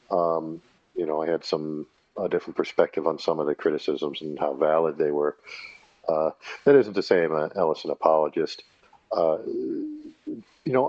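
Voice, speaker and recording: Deep-voiced man in his fifties rambling. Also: gravelly, darkish tone, no echo, lo-fi sound. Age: 50s. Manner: halting, many fillers and self-corrections. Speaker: channel host. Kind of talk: casual rambling monologue.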